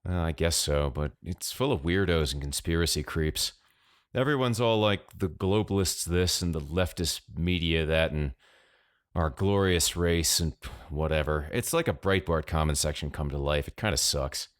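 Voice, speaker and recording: A man's voice: extremely low pitch, moderate pace, no room sound, studio quality. A man voicing a hesitant, weary young man, the prankster's friend, speaking casually and downbeat in an audiobook story. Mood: disdainful.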